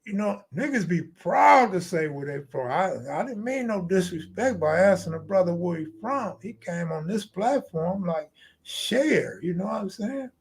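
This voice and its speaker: High-voiced, gravelly American streamer, slangy guy. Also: lively intonation, moderate pace. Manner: slangy, streetwise, profane. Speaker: live stream host. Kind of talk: casual live stream chat.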